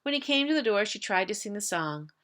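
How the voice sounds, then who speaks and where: A woman's voice: medium pitch, sing-song, a little fast, fairly bright tone. A woman reading a picture book aloud to young pupils as a member of school staff, lingering on repeated phrases. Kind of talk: children's storybook read-aloud.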